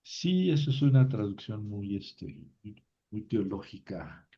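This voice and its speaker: Slow, deliberate male teacher. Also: lowish voice, animated. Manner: recites word forms slowly and deliberately, thinks aloud. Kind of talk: slow classroom lesson on grammar. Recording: dull lo-fi recording.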